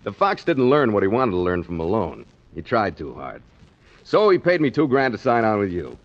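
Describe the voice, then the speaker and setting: A man's voice: lowish voice, very animated, moderate pace. An actor playing a sly, cocky sailor schemer in 1940s radio drama, with slangy, needling tough-guy talk on a muffled old recording. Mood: scheming, persuasive. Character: sly, cocky waterfront schemer, a sailor angling to join a ship.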